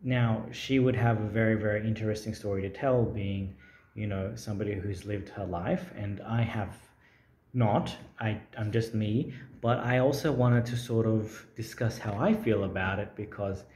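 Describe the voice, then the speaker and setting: A man with a low, mellow voice, musing aloud in an unplanned talk to camera. He rambles thoughtfully, pausing often on fillers. The sound is roomy and echoey. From Australia. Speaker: language-learning vlogger. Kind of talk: unscripted casual talk to camera. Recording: roomy echo.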